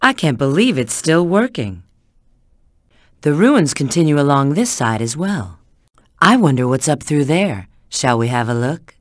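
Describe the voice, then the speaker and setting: A woman's voice: sits low, very animated, a little slow, no echo, clean studio sound. A woman voicing a sly, flirtatious, confident treasure-hunting agent in a video game, giving hints and callouts with teasing assurance. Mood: curious, alert.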